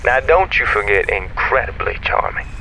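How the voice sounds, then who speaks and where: A chatty, self-assured space comms operator talks in a lowish, gravelly male voice with a forceful edge. He is folksy, easygoing and playful, in naturalistic sci-fi audio drama over ship radio. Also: big pitch swings, normal speed, slight background noise, full character voice, poor audio. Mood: playful, proud.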